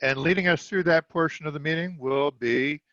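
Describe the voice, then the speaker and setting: A man chairing a meeting over a video call, brisk and upbeat as he moves things along. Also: a little high, a bit bright, no room sound. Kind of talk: meeting facilitation. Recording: dull, dry video call audio.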